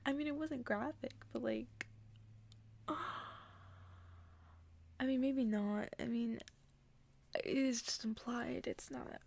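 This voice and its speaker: A woman speaking in a soft, hushed whisper in the style of an ASMR bedtime reading. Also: on the high side, slow, vocal fry, pauses often. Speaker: ASMR creator reading stories to listeners.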